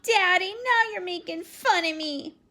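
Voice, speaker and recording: Woman doing a shrill scared little girl. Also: measured pace, sharp tone, exaggerated voice. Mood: pleading. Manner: shrill, breathless, near tears. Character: frightened little girl, excitable and dramatic.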